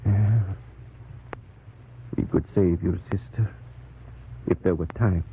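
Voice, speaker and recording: An actor playing a calm, grave, fatherly priest in a 1940s radio drama, with deliberate, soothing delivery. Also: deep voice, breathy edge, heavily acted. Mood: grave, explaining. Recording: noisy, tinny old radio recording.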